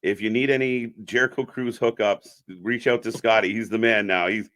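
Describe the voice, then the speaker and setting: A man's voice: lowish voice, steady tone, normal speed, a bit bright. A man hosting an informal interview in casual chat style, often repeating short affirmations. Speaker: host of a wrestling fan channel.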